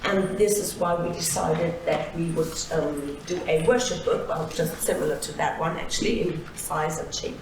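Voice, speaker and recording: A woman talking on a seminar panel, halting and restarting her sentences with frequent fillers. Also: low-pitched. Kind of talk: conversational panel talk. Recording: echoey hall, distant mic, faint noise.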